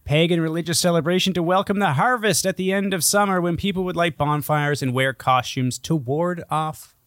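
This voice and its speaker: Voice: mid-range voice, normal speed, fairly bright tone, no echo. A co-host with a mid-range voice chats casually on a podcast. The delivery is teasing and sarcastic, with plenty of swearing, in a very dry recording. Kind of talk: casual, profane podcast banter.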